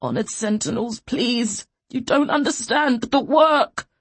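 Audiobook role: frightened male doctor in exile, played by a woman, husky, breathless, pleading. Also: medium pitch, very animated, takes it slowly, dry recording, full character voice.

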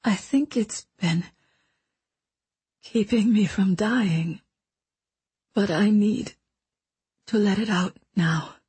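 A woman voicing a weak, dying woman mentor who speaks in a faint, breathy whisper, struggling for breath. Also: mid-range voice, slow pace, pauses often, dark tone, dry recording. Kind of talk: audiobook character voice.

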